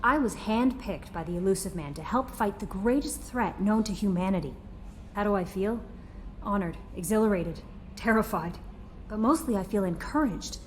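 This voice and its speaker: Actress as friendly young crew assistant in a game, earnest, midrange voice, bit of noise behind. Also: female voice, medium pitch, moderate pace, lightly acted. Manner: eager, personable. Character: friendly, earnest young female ship's aide. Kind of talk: video game voice acting.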